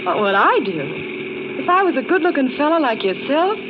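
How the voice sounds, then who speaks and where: A woman's voice: sits high, very animated, a little fast. An actress playing a brash, slangy young woman hitchhiker in 1940s radio drama, sassy and blunt. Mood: breezy, sassy. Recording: noisy muffled old radio recording, vehicle noise.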